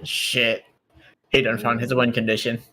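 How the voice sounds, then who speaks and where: A man's voice: mid-range voice, level tone, a little slow, crowd noise. A man playing a tabletop roleplay game, tossing in casual, slangy, profane and teasing commentary.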